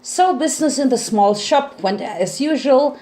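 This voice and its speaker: A woman narrates a story in a dramatic reading style. Her voice sits a little high, and she reads scripted prose as a storyteller. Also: lively intonation, a little slow, dark tone. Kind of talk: dramatic fan fiction narration.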